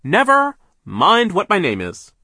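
A man voicing a domineering, stingy mill boss for an audiobook, blustering and pompous, with drawn-out, emphatic words. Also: high-pitched, huge pitch swings, no echo, studio quality, pitched above the performer's own voice, full character voice. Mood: brusque. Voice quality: forceful, drawn-out emphatic delivery. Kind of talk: audiobook character voice.

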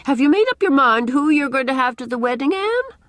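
A woman's voice: high-pitched, very animated, fairly quick, fairly bright tone, no echo, studio quality, pitched above the performer's own voice. A woman voicing a stern, opinionated older village matron in an audiobook, emphatic and scolding with old-fashioned country phrasing. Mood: brisk, curious. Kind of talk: audiobook character dialogue.

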